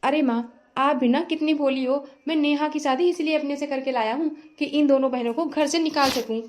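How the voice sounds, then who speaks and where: A woman's voice: high-pitched, steady tone, brisk, a little hiss or hum, close to an everyday voice. A woman voicing a scheming young man who taunts his new wife and her family. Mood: taunting. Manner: smug, taunting.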